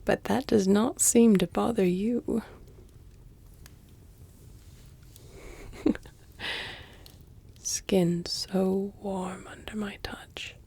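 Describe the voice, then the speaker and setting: Woman as a creaky-voiced, flirtatious ancient vampire lady. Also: mid-range voice, steady tone, dark tone, full character voice. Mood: seductive, teasing. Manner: measured, teasing, formal, slipping into breathy near-whispers. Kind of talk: intimate audio roleplay, scripted dramatic acting. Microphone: close, dry studio-style mic.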